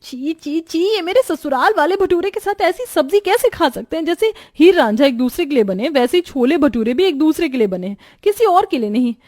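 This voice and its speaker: A woman's voice: high-pitched, animated, brisk, hardly any pauses, darkish tone, pitched above the performer's own voice. A woman voicing a young, put-upon new bride who complains and protests plaintively in a cartoon story. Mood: whining dismay.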